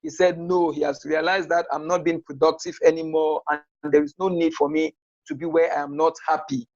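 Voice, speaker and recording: A man's voice: fairly high pitch, flat delivery, brisk, a little mellow, no echo, muffled recording. A man with a Cameroonian accent, a podcast guest telling his personal story conversationally.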